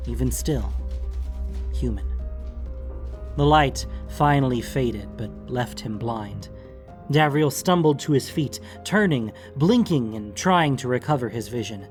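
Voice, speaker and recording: A man giving measured, theatrical narration of a fantasy audiobook. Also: medium pitch.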